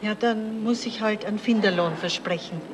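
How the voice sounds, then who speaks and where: An actress playing a proud, insistent dog owner in a 1970s German radio crime comedy. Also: female voice, mid-range voice, normal speed, background noise, close to an everyday voice. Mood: haggling. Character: doting, proud, haggling female dog owner. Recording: 1977 radio play, voices behind.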